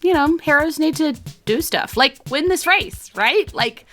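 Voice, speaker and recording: A woman's voice: sits high, animated, a little slow, sharp tone, soft background music, voice pushed up, full character voice. A woman playing a young adventurer's supportive, blunt friend in a casual, encouraging, slightly exasperated way.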